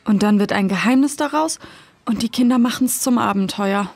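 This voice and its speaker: A woman's voice: on the high side, moderate pace, vocal fry, dry recording. A woman playing a polite, worried mother in a children's audio drama.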